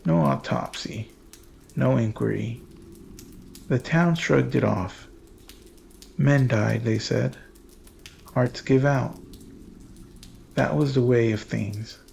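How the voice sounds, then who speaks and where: A man reading scripted horror narration in a grave, measured, ominous manner. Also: medium pitch, gravelly, a little mellow, a little hiss or hum.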